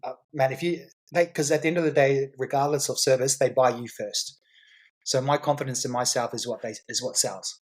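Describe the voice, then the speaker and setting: A man's voice: medium pitch, steady tone, brisk. A man as a guest on a podcast, explaining his business in a confident, salesy way with frequent repeats and restarts. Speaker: guest entrepreneur.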